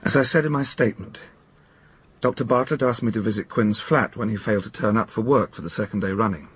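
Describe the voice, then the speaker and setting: An actor playing a reserved, uneasy British academic witness in a radio drama, speaking formally, carefully and a little defensively. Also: male voice, lowish voice, fast talker, slightly rough. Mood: careful, factual.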